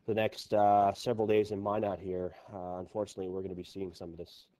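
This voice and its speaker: A man's voice: on the low side, monotone, fast talker, warm tone. A man anchoring live local news coverage, commenting unscripted and searching for his words as he goes.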